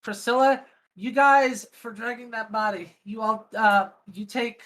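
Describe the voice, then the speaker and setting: Woman, game master, bright voice, slow pace, giggly, sweary, casual improvised narration. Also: fairly high pitch, steady tone.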